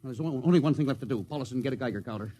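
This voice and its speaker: An actor playing an urgent, authoritative starship captain in a 1950s radio drama, barking clipped orders. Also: male voice, mid-range voice, racing, dark tone, breathy edge, natural voice. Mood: demanding. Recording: noisy old radio broadcast recording.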